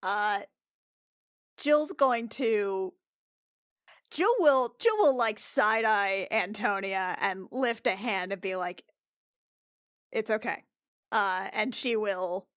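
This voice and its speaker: A woman's voice: mid-range voice, animated, slow, fairly bright tone, no room sound, studio quality. A woman playing a tabletop role-playing game, casually improvising her character's actions in hesitant phrases. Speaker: player.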